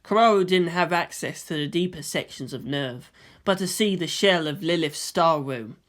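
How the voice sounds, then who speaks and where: A man's voice: a little high, moderate pace, a bit bright. A man reading scripted fiction as the narrator.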